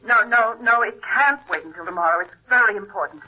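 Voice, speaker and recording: An actress playing an anxious, self-important stage star, speaking with urgent insistence in a 1950s radio drama. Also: female voice, medium pitch, brisk, slightly rough, close to an everyday voice. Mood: anxious, insistent. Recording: muffled vintage radio telephone voice.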